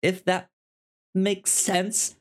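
A man co-hosting a casual TV review podcast, talking in a halting way with frequent stammers and restarted phrases. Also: high-pitched, completely flat delivery. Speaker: podcast co-host.

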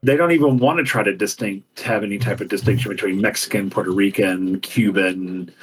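A man in his forties co-hosting a podcast, chatting casually in an animated, theatrical way and laughing often. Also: lowish voice, a little fast, recorded from a distance, slight background noise, poor audio. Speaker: podcast co-host. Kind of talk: casual chat.

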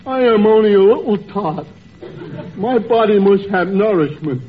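A man's voice: sits high, expressive, a little slow. A man playing a starving little boy, whiny and comically pitiful, in a 1940s radio comedy. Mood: plaintive. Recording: muffled noisy old radio, loud studio audience.

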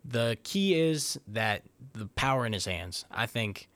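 Male podcast co-host, mid pitch, dry mic, hesitant thinking-aloud analysis. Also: expressive, unhurried, a bit bright, dry recording. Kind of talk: casual sports podcast breakdown.

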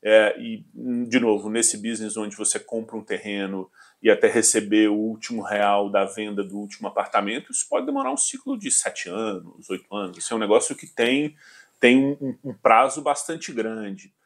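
Forties male executive, low voice, measured and hesitant interview answers. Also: expressive. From southern Brazil. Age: 40s. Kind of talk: business interview.

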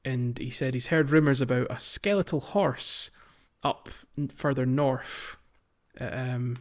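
A man hosting a podcast, casually recapping a video game in a hesitant, halting way. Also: mid-range voice, highs cut off. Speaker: podcast host.

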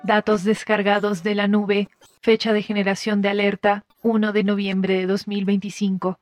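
A woman's voice: medium pitch, little pitch movement, moderate pace, no room sound, studio quality, faint music behind, lightly acted. A woman voicing an impersonal computer system that reads out records in a formal, robotic manner for a sci-fi audio drama. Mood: impersonal, official. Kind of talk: scripted announcement.

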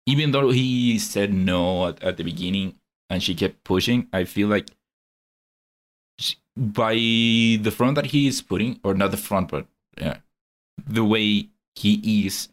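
A man co-hosting a film review podcast, giving his view slowly and thoughtfully over a call. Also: on the low side. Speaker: podcast co-host. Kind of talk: casual film review chat.

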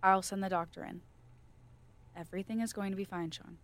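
A woman's voice: mid-range voice, steady tone, a little fast, a little hiss or hum, natural voice. Woman acting as controlled American corporate official. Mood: soothing. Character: firm, controlled female corporate official, calmly coercive. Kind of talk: audio drama acting.

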